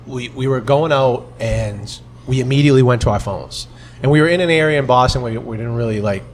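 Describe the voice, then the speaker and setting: A man chatting casually on a podcast, telling bar stories in a blunt, profane, animated way. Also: medium pitch, a little fast, slight background noise. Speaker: podcast guest, former bartender. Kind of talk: casual chat, bar-story banter.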